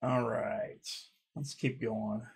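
A man in his thirties reading fan comments aloud on his channel, rambling and swearing, with a stutter he mentions himself, recorded by a webcam in a dark room at home. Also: mid-range voice, fairly even intonation, slow, gravelly, slight background noise. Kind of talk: casual reaction commentary.

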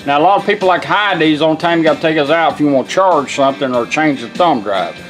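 A man's voice: on the high side, lively intonation, quick pace, music in the background. A man giving a casual, folksy, step-by-step product demonstration in an informal way. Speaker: vintage car radio restorer demonstrating. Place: indoor workshop bench.

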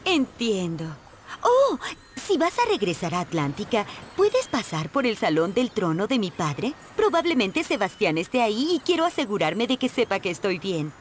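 A woman voicing a dreamy, eager teenage mermaid princess in an animated game, full of bubbly exclamations. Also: mid-range voice, normal speed, slight background noise, lightly acted. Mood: anxious. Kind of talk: animated video game voice acting.

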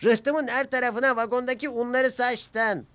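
A man's voice: extremely high pitch, fairly even intonation, unhurried, fairly bright tone, clean tone, voice pushed up. A man voicing a bossy, fussy, indignant steam engine that calls out urgently in a children's cartoon. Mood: angry. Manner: urgent, calling out.

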